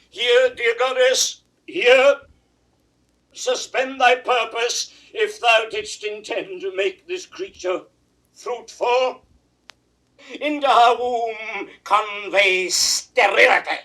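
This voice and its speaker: An actor playing a proud, raging old king in a classical 1960s Shakespeare audio drama, grand and declamatory, swinging from hushed to roaring. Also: sits high, far from the mic, heavily acted. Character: aged king, proud, raging at his daughter.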